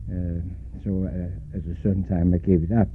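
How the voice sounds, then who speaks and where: An older man from Denmark with a Danish accent, recalling his life in an oral history interview, pausing often and spelling out names letter by letter. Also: sits low, little pitch movement. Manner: slow, spells out names letter by letter, many hesitations. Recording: tinny lo-fi recording with faint hiss.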